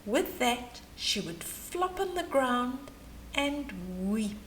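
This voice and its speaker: A woman storyteller with a high, very expressive voice. She reads a children's story at an unhurried pace, adding chatty little asides and questions as she goes. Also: slight background noise. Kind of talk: animated bedtime story read-aloud.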